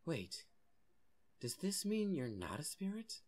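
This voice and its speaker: A woman voicing an eager young boy in an earnest, expressive way for a comic fan dub. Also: medium pitch, takes it slowly. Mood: casual, friendly. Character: young boy who befriends a forest girl, eager, defiant.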